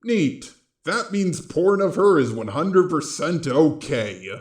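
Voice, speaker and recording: A man's synthetic robot voice narrating a scripted review in a deadpan, sarcastic, sweary way. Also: on the high side, takes it slowly, echoey room. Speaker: video reviewer.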